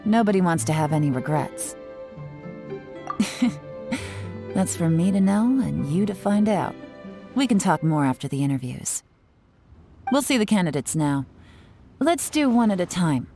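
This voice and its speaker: Cool female leader, lowish voice, assured, sly, game dialogue, music underneath. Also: animated, unhurried, pauses often, warm tone. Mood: sly, commanding. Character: confident, sly, self-assured woman in charge. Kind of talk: video game character acting. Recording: game music playing behind.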